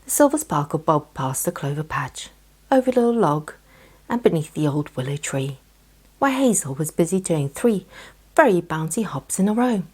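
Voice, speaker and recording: Casting: female bedtime storyteller, low-pitched, soft and breathy, gentle read for children. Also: big pitch swings, moderate pace.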